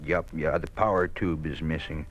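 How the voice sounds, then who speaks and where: Mild stubborn old farm husband, folksy, halting speech, muffled old radio play, hiss. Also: male voice, rumbling low, fairly even intonation. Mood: puzzled, determined. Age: older. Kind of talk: old-time radio drama acting. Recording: muffled, noisy vintage radio recording.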